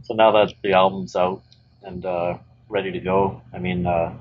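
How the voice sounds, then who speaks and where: A man's voice: sits low, level tone, normal speed, muffled recording, slight background noise. A man, a band member guest, talking in a laid-back, rambling way and swearing casually. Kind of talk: casual chat.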